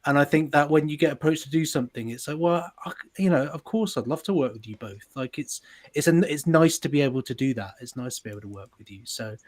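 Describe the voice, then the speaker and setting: A man's voice: on the high side, fast talker. A man, a sound designer chatting casually on a livestream, self-deprecating and full of restarts. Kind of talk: casual chat.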